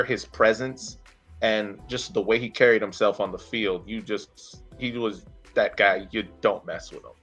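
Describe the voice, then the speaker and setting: A man in his thirties talking casually about sport as a podcast host, halting and searching for words as he recounts details. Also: on the low side, fairly bright tone, husky. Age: 30s. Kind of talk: casual sports talk, recounting a player's career.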